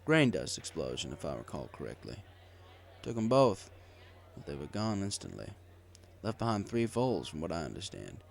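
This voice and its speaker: A man's voice: low voice, big pitch swings, moderate pace, gravelly. A man reading a scripted first-person story in the manner of an audiobook narrator. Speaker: story reader.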